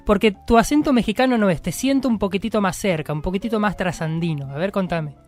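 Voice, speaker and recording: Argentine radio host with faint music. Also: male voice, high-pitched, fast talker. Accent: Rioplatense Argentine Spanish. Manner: friendly, prompting questions. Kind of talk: radio interview. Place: radio studio in Mar del Plata.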